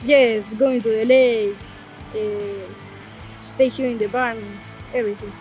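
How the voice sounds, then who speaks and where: A woman's voice: fairly high pitch, steady tone, slow, darkish tone, low-quality recording. A woman from Buenos Aires with an Argentine accent, a polo player speaking casually in an interview. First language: Spanish. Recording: music behind the voice.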